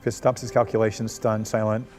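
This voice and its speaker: A man's voice: on the low side, little pitch movement, fairly quick, a little mellow, faint music behind. A man reading screenplay directions aloud as narrator at a table read.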